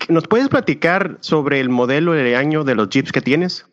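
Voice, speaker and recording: A man's voice: on the high side, lively intonation, moderate pace. A man hosting a podcast, introducing his guest and asking questions in an upbeat, formal way. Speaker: podcast host. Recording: dull lo-fi sound.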